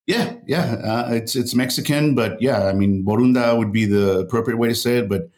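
A man from El Paso, Texas, a guest on a podcast, telling stories in an easygoing way with pauses. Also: medium pitch, darkish tone. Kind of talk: casual interview.

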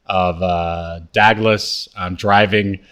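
A man co-hosting a podcast, casually recapping a TV show scene by scene in a halting, hesitant way. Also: low voice, fairly even intonation, a bit bright, smooth. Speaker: podcast co-host.

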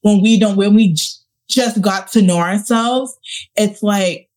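Late-20s woman podcast co-host, earnest and reflective, mid-pitched, casual chat. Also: a little slow, a little mellow. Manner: earnest, reflective, talks in long run-on thoughts.

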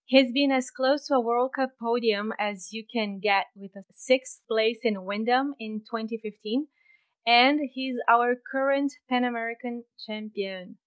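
Woman, podcast host, slightly high voice, cheerful, laughs easily. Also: measured pace, clear, resonant tone. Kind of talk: podcast interview. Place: home office.

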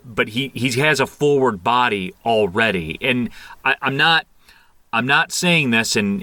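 A man giving animated, conversational sports analysis as a guest, repeating phrases for emphasis. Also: medium pitch, no room sound.

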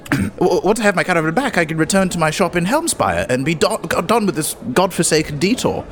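A man's voice: fairly high pitch, very animated, fairly quick, slight background noise, voice pushed up. A man voicing a flustered, cowardly but boastful goblin merchant in a tabletop game, stammering and wheedling like a salesman. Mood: nervous wheedling. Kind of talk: tabletop actual play character acting.

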